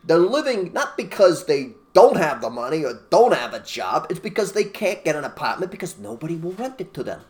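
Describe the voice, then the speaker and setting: A man's voice: a little high, big pitch swings, fairly quick, hardly any pauses. A man co-hosting a casual talk show, ranting emphatically and mimicking people in put-on voices. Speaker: podcast co-host. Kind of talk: opinionated casual chat.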